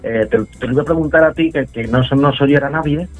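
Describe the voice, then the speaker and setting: A man's voice: on the high side, quick pace, soft background music. A young man from Madrid, a nervous new radio intern who stammers and keeps apologizing. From Madrid, Spain.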